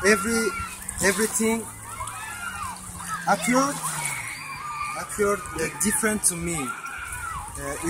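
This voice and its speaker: A man with a Persian accent, a native Farsi speaker, slowly giving a faith testimony with many pauses and self-corrections. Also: sits high. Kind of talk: slow read-aloud testimony. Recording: noisy recording.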